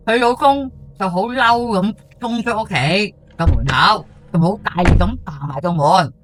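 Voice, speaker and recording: A woman's voice: lowish voice, noisy background, close to an everyday voice. Woman acting a gossipy older Hong Kong housewife. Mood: gossipy, chatty. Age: 60s. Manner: colloquial, gossipy, with drawn-out sentence particles and exclamations. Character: chatty gossiping housewife in her sixties, superstitious old estate resident. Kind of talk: first-person ghost story monologue for audio drama.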